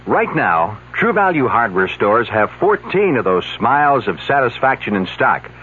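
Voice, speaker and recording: Animated male pitchman on old radio. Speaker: commercial pitchman. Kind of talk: scripted 1970s radio hardware commercial. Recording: narrow-band old radio, faint hum.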